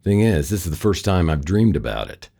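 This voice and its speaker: An American actor playing a wry, world-weary private detective in a noir radio drama, talking and narrating with dry, sardonic delivery. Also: male voice, deep voice, sing-song, a little fast, gravelly, dark tone, exaggerated voice. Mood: wry, unsettled.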